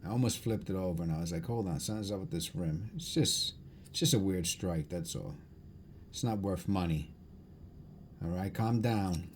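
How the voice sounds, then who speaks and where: Male vlog host, low-pitched, loose unscripted chat, thinks out loud and doubles back on phrases, some background noise. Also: moderate pace. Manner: musing aloud, repeats and corrects himself. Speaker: coin hunting video host. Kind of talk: rambling casual chat while sorting coins.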